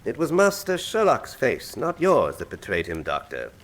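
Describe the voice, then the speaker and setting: An actor playing an urbane, ruthless professor of crime in a radio drama, pompous and mock-courteous. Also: male voice, medium pitch, huge pitch swings, moderate pace. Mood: silky menace. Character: urbane, ruthless criminal mastermind, male professor.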